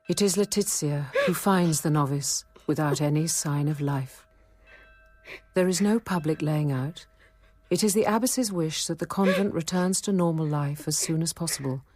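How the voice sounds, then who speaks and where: An actress playing a discreet, thoughtful convent apothecary nun in a radio drama, quiet and earnest in both narration and dialogue. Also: female voice, deep voice, moderate pace, faint music behind. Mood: sober, narrating. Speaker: narrator and lead character.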